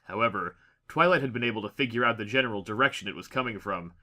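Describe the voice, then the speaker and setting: A man's voice: on the low side, quick pace, sharp tone. A man narrating a fantasy story as an audiobook reader, in a dramatic scripted style.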